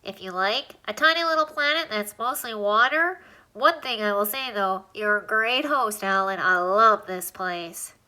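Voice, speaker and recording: A woman voicing a tiny, chatty male alien with a high, squeaky voice and a wisecracking manner. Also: animated, bright, forceful tone, rough recording. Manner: fast-talking, wisecracking.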